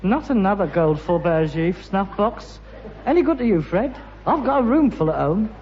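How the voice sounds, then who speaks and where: A man's voice: sits high, animated, normal speed. An actor playing a bitter, pretentious rag-and-bone man in a British sitcom, with a London accent and a sarcastic, mock-grand delivery. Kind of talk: British sitcom acting before an audience. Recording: muffled, noisy, reverberant old sitcom soundtrack.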